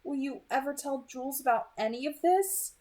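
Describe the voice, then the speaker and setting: Woman reading as an earnest, gentle young male vampire. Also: high voice, lively intonation, moderate pace, pitched above the performer's own voice. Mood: tentative, earnest. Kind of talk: amateur audiobook reading.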